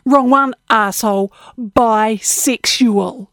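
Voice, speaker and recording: A woman's voice: a little high, takes it slowly, a bit bright, dry recording, studio quality. A woman voicing a tough, hot-tempered young woman with a blunt, combative way of talking in an audiobook. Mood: defiant.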